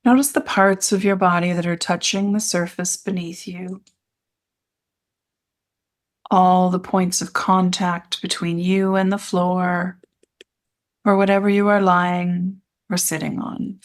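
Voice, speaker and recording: A woman leading a guided meditation as an instructor, speaking in gentle, soothing phrases with pauses between them. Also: lowish voice, level tone.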